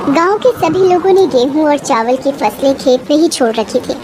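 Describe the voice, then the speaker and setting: A woman's voice: high voice, animated, moderate pace, background noise. A woman reading scripted, dramatic story narration.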